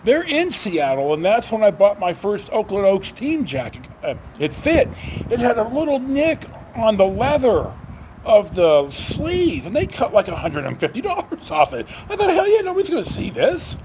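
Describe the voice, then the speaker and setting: American male, middle-aged, high voice with big swings, folksy salty storyteller, noticeable background noise. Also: huge pitch swings, normal speed. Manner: folksy, blunt, salty-tongued. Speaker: storyteller, vlog host. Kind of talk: rambling casual anecdotal storytelling.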